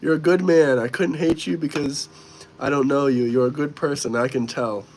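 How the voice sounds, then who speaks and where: A man's voice: on the high side, steady tone, moderate pace, darkish tone, low-quality recording. A young man hosting a live stream, casually and bluntly answering viewer comments while a little under the weather. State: slightly sick. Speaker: livestream host.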